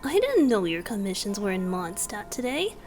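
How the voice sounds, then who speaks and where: A woman voicing a playful, tender young male bard god in a romantic ASMR roleplay, soft and breathy, often whispering and gently teasing. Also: medium pitch, very animated, moderate pace, faint music behind, natural voice. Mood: cheerful, casual.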